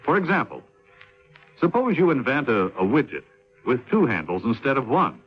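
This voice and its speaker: A male radio announcer reading a scripted 1950s public-information piece in a measured, explanatory way. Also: mid-range voice, big pitch swings, slightly rough. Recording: dull 1950s radio recording, faint music.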